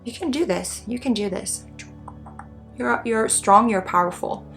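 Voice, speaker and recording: A woman with a Swedish accent giving unscripted spiritual guidance in an ASMR healing session, earnest and encouraging, with halting phrasing. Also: a little high, creaky, fairly bright tone, soft background music. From Sweden. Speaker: spiritual guide and energy healer.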